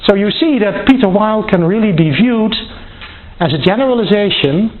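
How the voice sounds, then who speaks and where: A man giving a university lecture, explaining step by step in a deliberate, halting way and often repeating and rephrasing his words. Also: high voice, animated. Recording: tinny phone-like band, noise, clicks.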